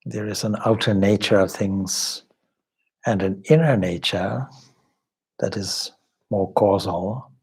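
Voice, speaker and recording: A man leading a guided meditation as a spiritual teacher, speaking in measured, deliberate short phrases with long pauses and formal, contemplative wording. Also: lowish voice, steady tone, a little mellow, breathy edge. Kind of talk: guided meditation, slow spoken instruction.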